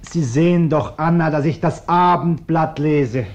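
A man's voice: fairly high pitch, measured pace, a little mellow, lightly acted. An actor playing an indignant, self-righteous bourgeois businessman in a radio play, formal, defensive and easily irritated. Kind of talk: German radio play acting. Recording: dull, band-limited radio recording.

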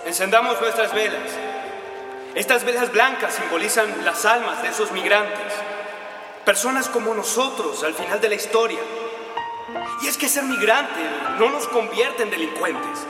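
A man's voice: high voice, moderate pace, close to an everyday voice. A man playing a solemn migrant activist in a radio drama, declaiming a memorial speech. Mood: solemn, resolute. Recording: echoey reverberant space, music behind.

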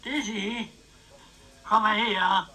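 An actor playing a mischievous, scheming old uncle, sly and self-satisfied, in a radio crime drama. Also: male voice, sits high, steady tone, very slow, very noisy. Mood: brisk, impatient. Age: older.

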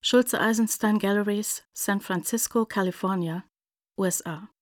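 An actress playing a formal announcer who reads out letterheads precisely in a radio drama. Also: female voice, medium pitch, normal speed, no room sound, clean studio sound, close to an everyday voice. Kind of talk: scripted reading in radio drama.

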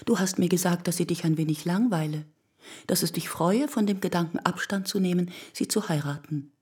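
An actress in a radio drama playing a tender, worried middle-aged mother, speaking gently and soothingly to her son. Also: on the low side, fairly quick, breathy edge. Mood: soothing, sad. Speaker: actor in a radio play. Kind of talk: German radio drama acting.

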